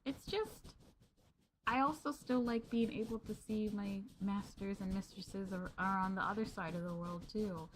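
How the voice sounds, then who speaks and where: A young woman streamer chatting gently and sweetly with her viewers in a maid roleplay, calling them master. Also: medium pitch, moderate pace, a little hiss or hum, rough recording.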